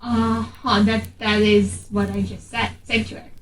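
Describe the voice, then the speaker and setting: A woman's voice: medium pitch, level tone, a little slow. A woman chatting casually as a player in an improvised tabletop game. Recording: roomy, distant mic, faint noise.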